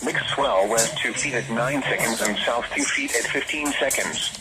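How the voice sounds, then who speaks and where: A man's synthetic-sounding voice reading an automated weather forecast in a formal, measured manner over a radio transmission. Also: medium pitch. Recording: very noisy weather radio broadcast.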